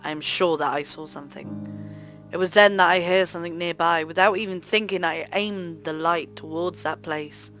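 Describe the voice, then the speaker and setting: A woman hosting a let's play, reading visual novel narration aloud in an expressive, theatrical way. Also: on the low side, moderate pace, faint music behind. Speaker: host of a gaming let's play channel.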